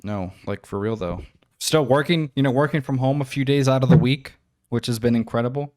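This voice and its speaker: A man's voice: mid-range voice, brisk, a little mellow. A man in his twenties co-hosting a podcast, rambling through casual chat with lots of swearing and filler words. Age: 20s. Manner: rambling, swears often, many "like"s. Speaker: podcast co-host.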